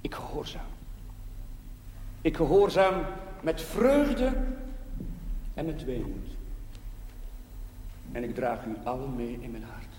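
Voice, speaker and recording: An actor playing a devout village priest in a television drama, preaching solemnly in measured, emphatic cadences. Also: male voice, medium pitch, lively intonation, a little mellow. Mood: solemn, tender. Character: devout male village priest bidding his parish farewell. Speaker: parish priest. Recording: echoey church hall reverb, background hiss.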